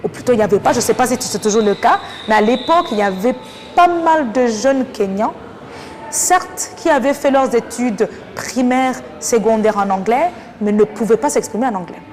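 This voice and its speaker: A woman from Rwanda, a teacher talking thoughtfully in an interview with many pauses and fillers. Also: a little high, animated, darkish tone. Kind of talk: casual interview talk. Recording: reverberant room with faint noise.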